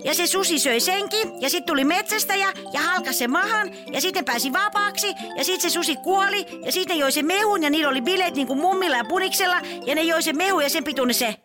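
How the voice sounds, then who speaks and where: A woman's voice: very high-pitched, animated, few pauses, over music. A woman voicing a cheeky, bratty little kid who mocks and interrupts a fairy tale in slangy speech for children's comedy. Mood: smug, cheeky.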